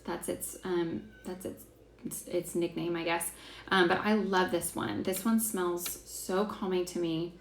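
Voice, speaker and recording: Chatty young woman, gravelly edge. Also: on the low side, steady tone, a little slow. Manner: chatty, filler-heavy, enthusiastic. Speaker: essential oils class host. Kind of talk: casual teaching class. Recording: echoey room, faint background hiss.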